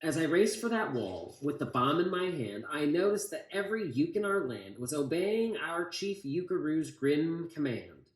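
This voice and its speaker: A man's voice: fairly high pitch, very animated, normal speed, hardly any pauses. A man with an American accent reading a storybook aloud and talking informally to listeners. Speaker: city council member reading to children. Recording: echoey room.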